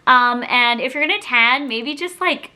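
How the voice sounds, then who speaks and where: Bright-voiced woman telling a casual story. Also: high voice, moderate pace. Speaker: vlogger. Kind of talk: casual storytime chat to camera.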